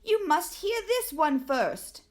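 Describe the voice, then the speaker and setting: A woman's voice: extremely high pitch, very animated, slow, voice pushed up, exaggerated voice. A woman voicing a bold storybook knight in an emphatic, declaiming manner. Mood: urgent. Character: bold knight stalling a dragon.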